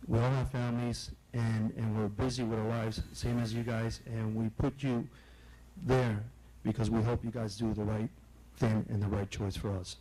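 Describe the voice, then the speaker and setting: A man's voice: on the low side, little pitch movement, moderate pace, warm tone, low-quality recording. A man giving firm public comment as a longtime resident, urging officials to listen.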